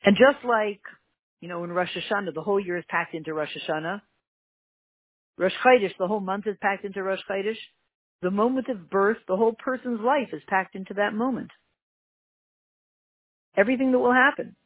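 A teacher with a Canadian accent giving an emphatic religious lesson, repeating key words and jumping up in pitch for emphasis. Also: fairly quick, pauses often, breathy edge, no room sound. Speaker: Torah teacher. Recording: tinny phone-like line, dry.

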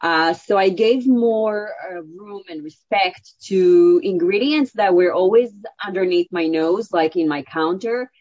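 A woman's voice: lowish voice, measured pace, few pauses, a little mellow, dry recording. A woman chef and cookbook author answering interview questions, telling her story with enthusiasm and many fillers, in English. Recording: dull, dry audio.

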